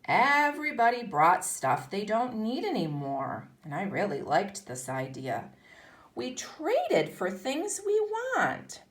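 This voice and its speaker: A woman's voice: medium pitch, wildly swooping pitch, takes it slowly. A woman teaching kindergarten, reading a picture book aloud to young children and explaining as she goes. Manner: instructional, explaining and posing questions to children.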